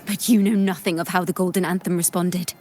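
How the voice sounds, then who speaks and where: An actress playing a brooding, resolute young heroine in a video game, speaking with serious intensity. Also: female voice, lowish voice, little pitch movement, fairly quick, darkish tone, faint music behind, close to an everyday voice. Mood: challenging. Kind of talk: dramatic game voice acting.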